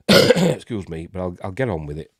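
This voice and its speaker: A man's voice: low-pitched, very animated, moderate pace, a bit bright, dry recording, clean studio sound. A man with a Yorkshire accent hosting a rambling live-stream film review, congested and snuffly from a cold. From Yorkshire, England. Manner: rambling, hesitant, many fillers. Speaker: host.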